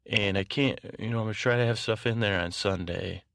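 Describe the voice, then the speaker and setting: A man with a low voice full of fry speaks as a podcast host, recorded very dry with no room around him. He wanders through informal announcements in a halting way. His sentences often break off, double back and start again. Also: fairly bright tone, dry recording. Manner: halting, meandering, keeps breaking off and circling back. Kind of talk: rambling informal podcast announcements for a bedtime show.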